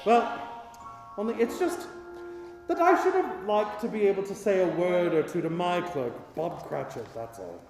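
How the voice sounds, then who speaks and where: A man's voice: sits high, sing-song, moderate pace, vocal fry, heavily acted. A man playing an old miser in a radio play, wistful and remorseful, with an emotional, theatrical delivery that repeats words in agitation. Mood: regretful. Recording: echoey, long reverb with music behind.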